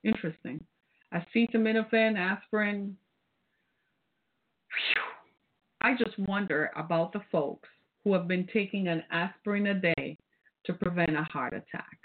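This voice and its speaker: A woman's voice: lowish voice, a little slow, long pauses. A woman from the British Caribbean with a Caribbean accent, hosting a solo podcast in a preachy, rhetorical way and often tagging her points with "right?". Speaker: podcast host. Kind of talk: casual solo podcast commentary. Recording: phone-like, narrow band.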